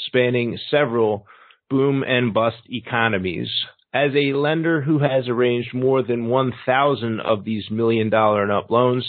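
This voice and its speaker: A man's voice: medium pitch, no echo. A man hosting a real estate podcast, reading a scripted intro and then asking casual questions. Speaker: podcast host. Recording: dry, tinny phone-like sound.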